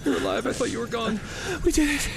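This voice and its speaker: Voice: medium pitch, fast, creaky, heavy reverb, background noise. An actor playing a frantic, determined adventurer in an audio drama, urgent and emotional. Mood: relieved.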